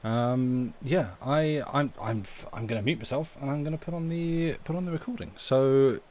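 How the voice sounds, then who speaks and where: A man's voice: medium pitch, moderate pace, a little mellow. Rambling man hosting, noisy recording. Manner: rambling, tentative, self-interrupting. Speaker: livestream host. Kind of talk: casual live stream chat.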